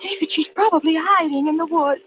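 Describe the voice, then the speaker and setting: An actress playing a frightened, pleading wife in an old-time radio thriller. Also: female voice, extremely high pitch, fairly quick. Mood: fearful, insistent. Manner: anxious, pleading. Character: terrified, pleading wife stranded in a car. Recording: muffled, noisy vintage radio recording.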